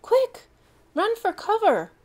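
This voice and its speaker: A woman voicing a young, easily frightened dog who yelps and shouts in a storybook. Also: very high voice, huge pitch swings, slow, voice pushed up, heavily acted. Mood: panicked. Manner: loud, yelping.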